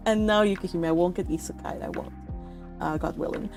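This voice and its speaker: Woman, livestream host, effusive and affectionate, casual chat delivery, mid pitch, faint music underneath. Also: normal speed, darkish tone. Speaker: live stream host reading and answering chat.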